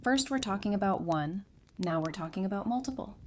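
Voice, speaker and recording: A woman's voice: mid-range voice, animated, a little fast, slight background noise, close to an everyday voice. A woman playing a tender but determined wife in an apocalyptic audio drama, earnest and plainspoken. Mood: amused, resolved. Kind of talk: full-cast audio drama acting.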